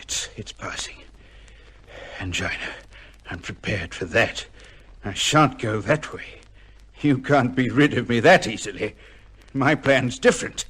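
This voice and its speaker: Bitter, vengeful son, swooping husky voice. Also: male voice, medium pitch, expressive, unhurried, pauses often, a bit bright. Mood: pained, breathless. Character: bitter, vengeful illegitimate son, cold, ruthless, with heart trouble. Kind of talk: old-time radio drama acting.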